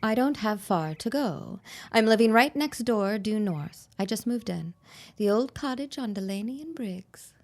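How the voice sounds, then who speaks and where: A woman's voice: medium pitch, animated, measured pace. An actress playing a haughty, spiteful woman in a period audio drama, with a cool, cutting, disdainful manner. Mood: cool, dismissive.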